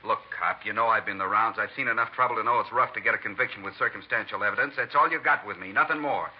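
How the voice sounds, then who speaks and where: A man playing a sneering, street-tough hoodlum in a 1950s radio crime drama, husky and sarcastic. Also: fairly low pitch, quick pace. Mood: defiant. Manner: surly, sarcastic. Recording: dull vintage radio recording.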